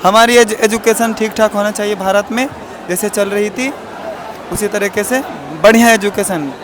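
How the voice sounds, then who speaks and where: A man's voice: sits high, steady tone, moderate pace. A young man from Patna, a medical student, telling emotionally and insistently what he witnessed. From Patna, India. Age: young adult. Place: outdoor protest. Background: crowd.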